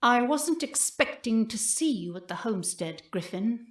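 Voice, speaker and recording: A woman playing a menacing, imperious cult leader in an audio drama, slow and theatrical, mock-gentle and often dropping to a whisper. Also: a little high, lively intonation, close to an everyday voice. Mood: coolly condescending. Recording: roomy, echoing interior sound.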